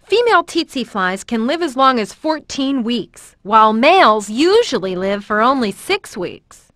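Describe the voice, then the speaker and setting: A woman's voice: fairly high pitch, sing-song, a little slow, a bit bright, no echo, clean studio sound. A woman narrator giving a scripted educational narration for children.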